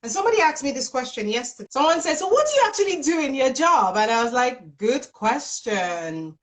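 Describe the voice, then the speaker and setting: Energetic Nigerian woman with a big voice. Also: sits high, brisk. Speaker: HR business partner and YouTuber. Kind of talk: casual live interview chat. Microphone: video call on a phone.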